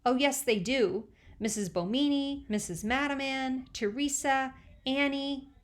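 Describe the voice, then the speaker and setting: A jealous, pouty teenage girl, read by a woman, her voice a touch high. She sulks and snorts through her complaints. An audiobook character, plainly spoken. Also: unhurried. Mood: sulky.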